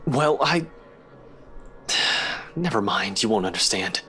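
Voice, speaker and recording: A man's voice: lowish voice, lively intonation, slow, pushed and bright, soft background music, heavily acted. An actor playing a skeptical, wry young male investigator in a visual novel game, dry and sardonic. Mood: frustrated.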